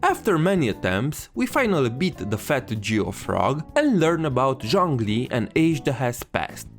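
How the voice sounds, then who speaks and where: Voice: medium pitch, very animated, a little slow, faint music behind. A narrator giving an irreverent, sarcastic scripted comedic recap of a video game story.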